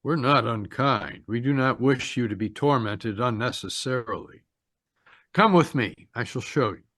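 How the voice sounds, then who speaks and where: A man acting a stern, calm guide to the dead in an old-time radio mystery, speaking formally and with measured solemnity. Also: mid-range voice, no echo, clean studio sound.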